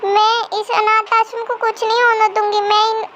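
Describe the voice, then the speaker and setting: A woman's voice: very high-pitched, flat delivery, normal speed, fairly bright tone, dull audio, a little hiss or hum. A woman playing a caring, defiant young woman in an amateur melodramatic audio story. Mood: worried, determined.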